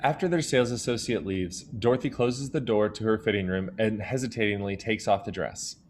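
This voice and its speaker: A man's voice: lowish voice, a little fast, slight background noise. A man reading scripted stage directions as the narrator of an audio drama.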